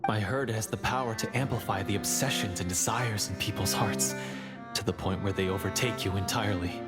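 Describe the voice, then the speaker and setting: Male actor as embittered hunter's son, remorseful, hesitant, dramatic game dialogue, loud music behind. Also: lowish voice, a little fast, close to an everyday voice. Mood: regretful. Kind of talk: English video game voice acting. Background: background music with plucked guitar.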